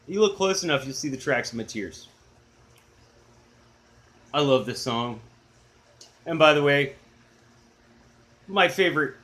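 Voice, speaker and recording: Middle-aged guy w a big booming baritone, rambly and excited about music, jokes on himself. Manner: rambling, enthusiastic, self-deprecating. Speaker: music channel host. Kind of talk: casual chatty video blog.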